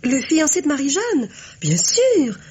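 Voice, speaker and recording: An actress playing a sociable, bubbly young confectioner in a French radio mystery, chatty and breezily untroubled. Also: female voice, high-pitched, huge pitch swings, a little slow, darkish tone, full character voice. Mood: breezy, reassuring.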